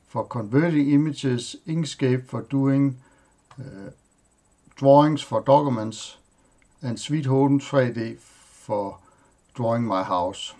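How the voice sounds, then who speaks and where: A Danish-native man talks slowly through his desktop, naming programs one by one. He pauses often and fills gaps with short fillers. His voice sits at an ordinary middle pitch. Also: warm tone. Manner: halting, plain, listing items with pauses and fillers. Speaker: tech tutorial host. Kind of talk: screen-recorded software walkthrough.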